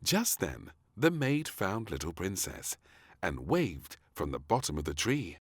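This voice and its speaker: Man reading a kids story, slow and really expressive, very dry recording. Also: low-pitched, no echo. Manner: expressive, theatrical. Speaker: storybook narrator. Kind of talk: scripted children's story narration.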